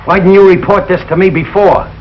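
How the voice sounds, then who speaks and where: A man's voice: sits high, steady tone, a little fast, natural voice. An actor playing a blustering, suspicious middle-aged working-class father in a 1940s radio situation comedy, exclamatory and emphatic. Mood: defensive. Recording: dull, noisy 1940s radio recording.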